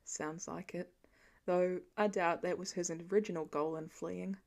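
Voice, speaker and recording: A woman voicing a gentle, weary middle-aged father in an audiobook story, low-key and plain-spoken with a dry manner. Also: fairly even intonation, unhurried, vocal fry. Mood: subdued, heavy.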